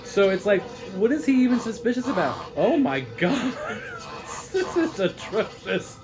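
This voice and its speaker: A man's voice: high voice, wildly swooping pitch, a little slow, poor audio. An American man hosting a vlog with casual, chatty commentary in an informal, jokey, incredulous manner. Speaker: vlog host.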